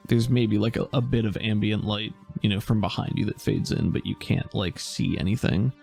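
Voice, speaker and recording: A man narrating as game master in a casual tabletop session, relaxed and hesitant, thinking aloud with many fillers. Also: lowish voice, moderate pace, gravelly, soft background music.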